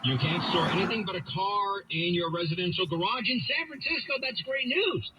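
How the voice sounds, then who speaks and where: A man with a very bright, high-set voice delivers opinionated radio talk. He is emphatic and circles back to phrases for effect. The radio recording carries faint background noise. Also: animated, moderate pace, rough recording.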